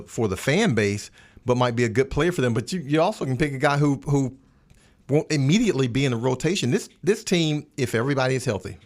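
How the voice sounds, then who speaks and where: A man with a medium-pitched voice talks sports casually as a panel analyst. He builds his points haltingly, doubling back on words as he goes. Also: darkish tone. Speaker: basketball radio analyst and panelist. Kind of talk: casual sports roundtable talk.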